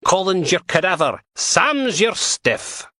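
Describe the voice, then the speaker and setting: A woman's voice: a bass voice, sing-song, normal speed, a bit bright, voice pushed up, heavily acted. A male narrator voicing a blunt, sardonic female police dog handler with a coarse, crude way of talking.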